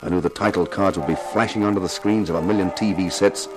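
An actor playing an intense television detective in a 1950s radio crime drama, narrating and questioning in a deliberate, insistent way. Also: male voice, low-pitched, steady tone, music in the background, lightly acted. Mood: tense, confiding.

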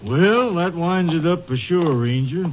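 An actor playing a good-hearted, folksy police chief who muses aloud in an old radio drama. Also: male voice, a little high, lively intonation, unhurried, very noisy. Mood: easygoing, sympathetic. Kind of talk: 1950s radio drama acting. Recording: muffled old radio recording, music behind.

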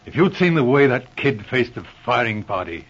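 Resolute, sharp-witted inspector, authoritative and slightly rough-voiced, vintage radio drama with dull sound. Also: male voice, mid-range voice, big pitch swings, moderate pace. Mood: weary, sickened. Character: shrewd, resolute male military police inspector. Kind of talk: 1940s radio drama acting. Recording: muffled old radio recording.

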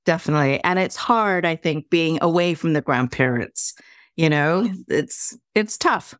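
A woman's voice: sits low, a little slow, dry recording, studio quality. A woman hosting a podcast, reading her intro and asking a guest questions in a friendly way. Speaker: podcast host. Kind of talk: scripted intro, then interview.

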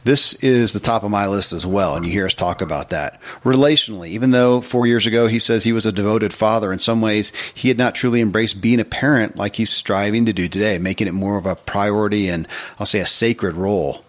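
Low-voiced man hosting a podcast. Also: fairly quick. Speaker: podcast host. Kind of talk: podcast intro and interview talk.

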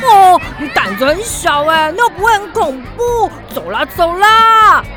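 High-pitched, excitable little schoolboy. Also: female voice, measured pace, over music, crowd noise, full character voice. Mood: excited. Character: excitable, easily spooked primary school boy. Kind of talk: children's radio drama acting.

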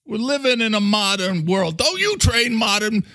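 A man's voice: high-pitched, expressive, moderate pace, pushed and bright, no room sound, studio quality, voice pushed up, heavily acted. A man voicing a touchy, foul-mouthed talking coffee maker with a New York accent, blustery and defensive. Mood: irritated, badgering. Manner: blustery, defensive, swearing, badgering with questions.

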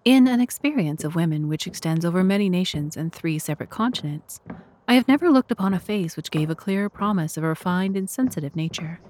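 A woman giving measured, formal narration of a scripted literary story. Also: lowish voice, animated, a little mellow, a little hiss or hum.

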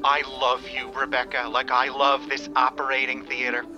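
A man's voice: medium pitch, completely flat delivery, moderate pace, lightly acted. An actor playing a melodramatic, self-absorbed man in an audio comedy drama, overwrought and declamatory. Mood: grandiose, passionate. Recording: dull sound with music behind.

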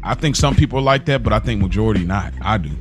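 A man's voice: fairly low pitch, fast talker, soft background music. A man co-hosting a podcast, talking casually and reflectively, hesitant as he searches for his words. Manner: hesitant, searching, self-questioning. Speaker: podcast co-host.

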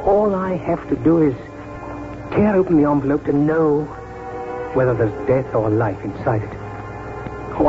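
An actor playing a kindly, determined older country doctor in earnest, theatrical 1940s radio drama style. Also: male voice, a little high, natural voice. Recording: muffled 1949 radio broadcast, music behind.

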